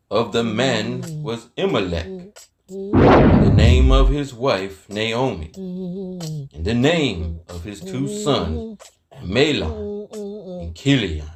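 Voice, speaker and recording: Slow, expressive man reading scripture. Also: mid-range voice. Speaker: narrator.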